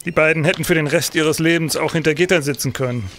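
An earnest police official in a radio play. His voice sits fairly high, formal and reflective, with clear ambience behind it. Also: fast, a bit bright, a little hiss or hum. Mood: sober, reflective. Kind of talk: audio drama acting.

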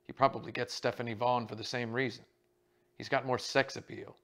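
A man voicing a coarse, domineering older male theater magnate who talks bluntly in a rumbling voice. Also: fairly even intonation, brisk, gravelly, fairly bright tone. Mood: gruff.

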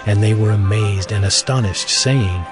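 Low-voiced male scripture narrator over music. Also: moderate pace.

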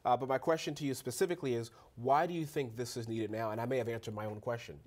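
A man in his forties hosting a TV studio interview, polished and smooth, leading his guest with questions. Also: medium pitch, steady tone, fast, darkish tone. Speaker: TV host. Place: TV news studio.

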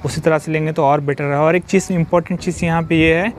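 Man touring a site, flat voice. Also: on the high side, fast talker, a little hiss or hum. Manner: repetitive, explanatory, mixes English terms into Hindi. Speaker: civil construction site vlogger. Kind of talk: walkthrough explaining a construction site. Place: outdoor construction site. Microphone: phone held while filming.

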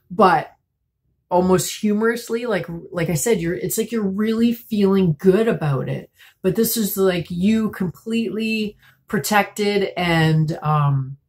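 A woman in her thirties giving a tarot reading to camera at home, a bit congested and raspy from a cold, talking in a soft, musing way with many fillers. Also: low-pitched. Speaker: tarot reader. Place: small room at home, indoors.

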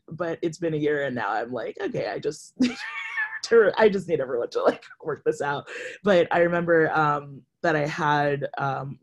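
A panelist speaking casually and personally in a discussion held over a video call. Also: medium pitch. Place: indoor room, video call.

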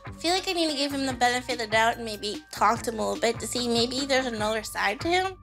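The high-voiced young woman you would hear venting to camera on a dating reality show, music running underneath. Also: animated, fast talker. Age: young adult. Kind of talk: reality show confessional and date chat. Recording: music behind the voice. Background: background music.

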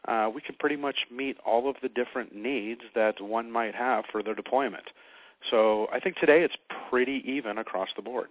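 An American man answering interview questions as a sales manager, measured and pausing often as he explains. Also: mid-range voice, no echo. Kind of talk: interview answers about products. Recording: narrow muffled phone line.

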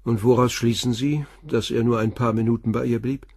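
Husky, composed police detective. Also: male voice, medium pitch, steady tone, fairly quick, a little mellow, dry recording, clean studio sound. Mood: calm, probing. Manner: matter-of-fact, inquisitive. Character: probing, composed male police detective questioning a witness. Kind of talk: German crime radio play acting.

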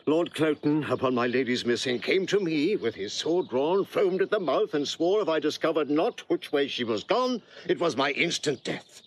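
An actor playing a loyal, earnest manservant in a Shakespearean audio drama. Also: male voice, on the high side, expressive, normal speed, hardly any pauses, a little hiss or hum, natural voice.

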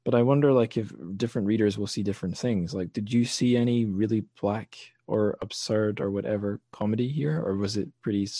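A man hosts a casual book podcast in a lowish voice. He thinks aloud, hesitating and rephrasing as he goes. The sound is close and dry, with little room around him. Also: mellow, breathy edge, no room sound. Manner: thoughtful, hesitant, self-correcting as he thinks aloud. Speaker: podcast host.

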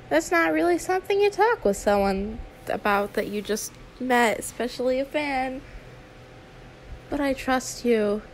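A woman's voice: fairly high pitch, slight background noise, poor audio. A woman playing a friendly, trusting young female YouTuber in a conversational ASMR roleplay, chatty and confiding with some hesitation. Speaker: roleplay audio performer.

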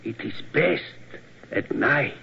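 An actor playing a sinister, mad German museum keeper with a German accent, deliberate and relishing in old-time radio style. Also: male voice, medium pitch, expressive, gravelly, sharp tone, breathy edge, exaggerated voice. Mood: gloating, eerie. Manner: deliberate, relishing, stilted syntax. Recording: muffled, noisy old radio recording.

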